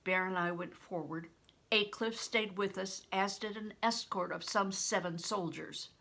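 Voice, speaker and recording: An older woman, a grandmother, reading a storybook aloud in a deliberate, phrase-by-phrase way. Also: medium pitch, steady tone, vocal fry, bright, forceful tone. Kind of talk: storybook narration with plain spoken asides.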